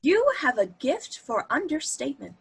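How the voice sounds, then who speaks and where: A woman's voice: high voice, sing-song, moderate pace, gravelly, exaggerated voice. A woman playing a sarcastic, wisecracking young character in a comic play read aloud. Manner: sarcastic, quippy. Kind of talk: table-read stage comedy acting.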